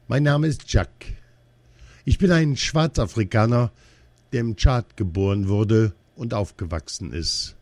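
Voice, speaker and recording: A man reading a first-person testimony aloud in a slow, measured, earnest way. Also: on the low side, darkish tone, no room sound.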